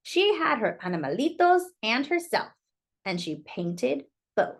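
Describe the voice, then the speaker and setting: High, lively woman reading to children. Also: a little slow. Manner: expressive, exclaims over the pictures, addresses young listeners. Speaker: storyteller reading a children's picture book aloud. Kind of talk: read-aloud for young children with asides.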